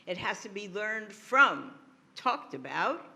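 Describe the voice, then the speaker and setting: A woman's voice: medium pitch, sing-song, slow, sharp tone. A woman writer at a panel, reading excerpts expressively with emphatic stresses and commenting on them.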